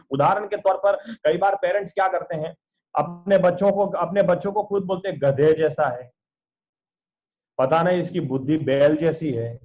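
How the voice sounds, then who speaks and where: A man with a notably high voice delivers an earnest sermon as a pastor over a video call. He often stops to pose rhetorical questions to his listeners.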